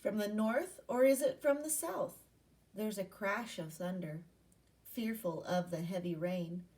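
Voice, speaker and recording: A woman's voice: mid-range voice, a little slow, a little mellow, far from the mic. A woman primary school teacher giving an interactive read-aloud lesson to young children, asking questions and repeating key points in an instructional way.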